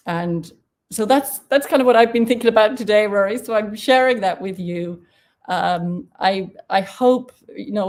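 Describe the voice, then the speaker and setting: A woman's voice: lowish voice, darkish tone. A woman poet speaking thoughtfully and with passion at an online event. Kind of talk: reflective talk.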